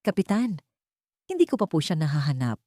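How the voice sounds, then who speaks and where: A woman's voice: deep voice, huge pitch swings, a little fast, warm tone, dry recording, clean studio sound, exaggerated voice. A woman narrator with a Filipino accent voicing a troubled, earnest husband in an audiobook. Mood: flustered. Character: troubled husband, frustrated and flustered. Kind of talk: audiobook character dialogue.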